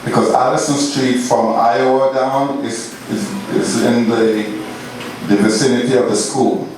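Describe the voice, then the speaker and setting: A man's voice: medium pitch, flat delivery, unhurried, noisy background. A man, a carnival organizer, speaking formally like an announcer. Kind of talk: formal address and meeting talk.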